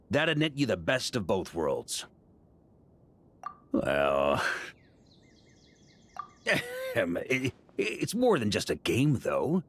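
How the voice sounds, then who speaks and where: An actor playing a talkative, good-humored middle-aged knight grandmaster in scripted video game dialogue, with a theatrical way of chatting. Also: male voice, mid-range voice, a bit bright, exaggerated voice.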